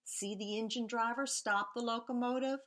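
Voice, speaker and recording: Bright-voiced woman reading a storybook to children. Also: a little high, moderate pace. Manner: animated and sing-song, drops to hushed, whispery repeated sound words; addresses young listeners directly, pointing out pictures. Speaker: teacher reading picture books aloud to young children. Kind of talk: expressive read-aloud of children's storybooks, with questions to listeners.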